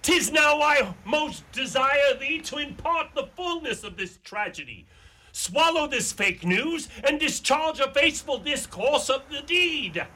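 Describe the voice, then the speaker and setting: A man's voice: extremely high pitch, animated, measured pace, bright, forceful tone, heavily acted, rough recording. Man acting a piercing, melodramatic young prince. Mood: furious anguish. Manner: declamatory, melodramatic. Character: noble, anguished, hot-headed shipwrecked young prince. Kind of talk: mock-Shakespearean radio drama acting.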